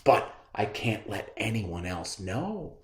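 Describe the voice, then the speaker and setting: A man's voice: lowish voice, sing-song, slow, reverberant. A man voicing a vain, silly emperor with a pompous, theatrical manner in a read-aloud storybook tale. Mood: nervous self-doubt. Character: vain, silly emperor, commanding then nervously self-doubting.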